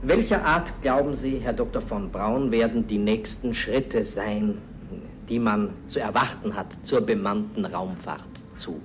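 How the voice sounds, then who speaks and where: A man asking a formal, measured question as an interviewer in an archival recording. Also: fairly low pitch. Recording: muffled archival interview, faint noise.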